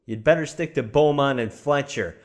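A man's voice: medium pitch, moderate pace, lightly acted. A man playing a proud, witty, exasperated playwright, arguing in an articulate theatrical radio drama style. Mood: angry, scornful. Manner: articulate, scornful, argumentative. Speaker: voice actor in an audio drama.

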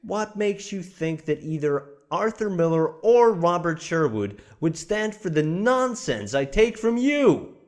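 Guy doing a fed up witty playwright, kinda high voice, very animated, argues theatrically in a radio play. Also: a little slow. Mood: angry, scornful. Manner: articulate, scornful, argumentative. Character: exasperated, proud, witty male playwright. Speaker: voice actor in an audio drama. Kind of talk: theatrical radio drama acting.

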